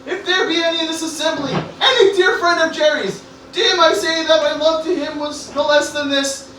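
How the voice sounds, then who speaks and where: A woman's voice: high-pitched, normal speed, fairly bright tone. A woman playing an anxious teenage boy who gives an earnest, noble public oration in an amateur radio play. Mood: earnest, rallying. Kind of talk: amateur Shakespeare-parody radio play acting. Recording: very echoey, distant room, faint noise.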